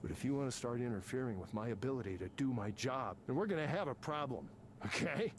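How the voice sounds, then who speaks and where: A man's voice: medium pitch, animated, fast talker, slight background noise, natural voice. An actor playing an angry, self-important tech programmer in his fifties for a video game, ranting with sarcasm and swearing. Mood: threatening. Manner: combative, sarcastic, profane ranting. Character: angry, embittered, self-important fifty-something male tech company programmer. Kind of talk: naturalistic video game voice acting.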